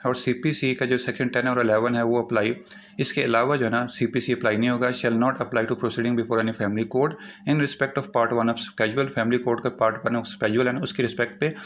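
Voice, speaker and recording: A Pakistani man speaks as a law lecturer at a middling pitch. He explains informally and repeats his points, moving between reading clauses and plain explanation. There is faint noise behind his voice. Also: fairly even intonation. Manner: repetitive explaining, slows down to read out English legal clauses.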